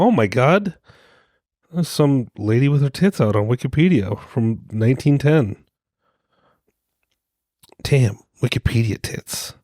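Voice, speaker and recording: A man's voice: mid-range voice, warm tone, dry recording, studio quality. A man co-hosting a podcast, rambling in a joking, self-mocking way, a little congested from a cold. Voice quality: soothing voice. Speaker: podcast co-host. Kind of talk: casual rambling monologue.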